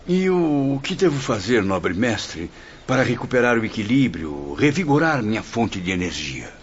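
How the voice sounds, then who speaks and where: An actor playing an anxious, deferential disciple seeking guidance in a radio drama. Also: male voice, mid-range voice, big pitch swings, normal speed, lightly acted. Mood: anxious, pleading. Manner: pleading, deferential. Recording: muffled audio with ambient noise behind.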